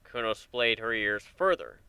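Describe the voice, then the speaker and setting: A man's voice: on the low side, lively intonation, unhurried, pushed and bright, clear, resonant tone, no echo. A man narrating a fan fiction story as a scripted dramatic reading.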